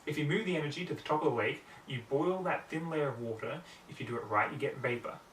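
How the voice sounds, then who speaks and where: A serious, patient lady unicorn teacher from a storybook as a man acts it out. The delivery is measured and coaxing, set back from the mic in a roomy space with faint noise. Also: fairly high pitch, a bit bright, recorded from a distance, lightly acted. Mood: explaining.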